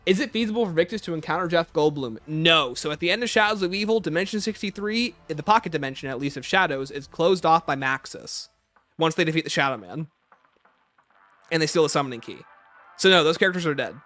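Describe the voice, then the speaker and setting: A man's voice: on the high side, expressive, fast, bright, forceful tone, no room sound, faint music behind. A man hosting a livestream, explaining and answering viewer questions off the cuff. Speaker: livestream host.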